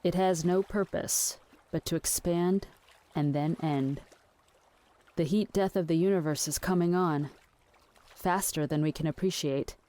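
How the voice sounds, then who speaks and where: A woman's voice: on the low side, a little slow, dark tone, natural voice. An actress playing a dreamy, fatalistic woman in an audio play, with a wistful and understated way of talking. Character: melancholy, dreamy, fatalistic woman on a ship at night. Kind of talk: theatrical audio play acting.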